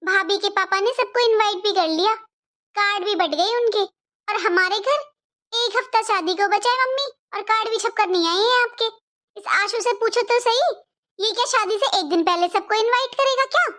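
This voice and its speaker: A woman's voice: very high-pitched, normal speed, bright, forceful tone. A woman as an impatient, piping young daughter. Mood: complaining. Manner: pressing, complaining. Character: impatient married young daughter, nagging and complaining. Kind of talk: Hindi cartoon family drama acting.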